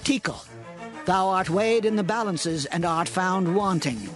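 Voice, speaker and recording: A man's voice: high-pitched, animated, a little slow. A man playing a solemn prophet who declaims judgment on a king in archaic English, in a dramatized scripture reading. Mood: solemn, accusing. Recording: music clearly under the voice.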